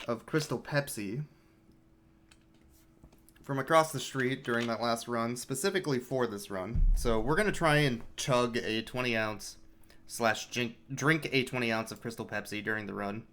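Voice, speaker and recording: A man giving relaxed, chatty live commentary on his speedrun, explaining strategy as he plays. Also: medium pitch, moderate pace, slight background noise.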